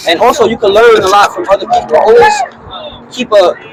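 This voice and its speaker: A man with a high, light voice talks in an upbeat, earnest way. He is a calisthenics athlete interviewed outdoors in a city. The chatter of a crowd surrounds him. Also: huge pitch swings, a little fast, very noisy. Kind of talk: casual street interview.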